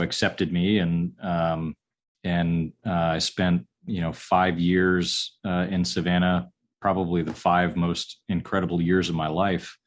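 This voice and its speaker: Low-pitched man in his forties, unhurried and halting, guest on an interview podcast. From upstate New York. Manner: halting, many ums and restarts. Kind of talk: casual interview answers.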